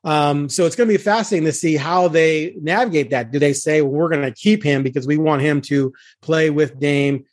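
A man's voice: on the high side, fairly quick, hardly any pauses, no room sound, clean studio sound. A man hosting a sports podcast, talking conversationally and emphatically, with punchy phrases and rhetorical questions. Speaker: podcast host, sports beat reporter.

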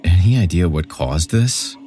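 A man's voice: deep voice, lively intonation, measured pace, warm tone, faint music behind, pitched above the performer's own voice. A man voicing an inquisitive, matter-of-fact male paramedic in a horror story. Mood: questioning.

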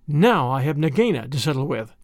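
A man's voice: fairly high pitch, very animated, a little fast, dry recording, studio quality, pitched below the performer's own voice. A man voicing a fierce little mongoose hero. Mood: grim. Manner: urgent, determined. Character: small brave fierce male mongoose.

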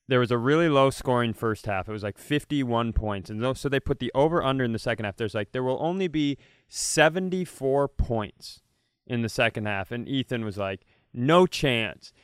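A man's voice: mid-range voice, normal speed, smooth, no echo, clean studio sound. A man co-hosting a comedy podcast, telling casual comic stories with profane, jokey banter.

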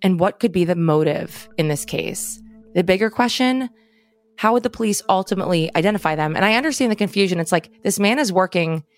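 A woman's voice: medium pitch, expressive, brisk, soft background music. A woman co-hosting a true crime podcast, narrating the case and dropping casual asides. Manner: conversational, adds casual asides. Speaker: podcast co-host.

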